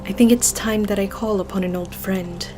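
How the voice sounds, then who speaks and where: A woman's voice: mid-range voice, steady tone, normal speed, music in the background, close to an everyday voice. Woman acting a resolute, grieving Victorian lady. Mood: insistent. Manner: formal, earnest, determined. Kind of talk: dramatic audio drama acting.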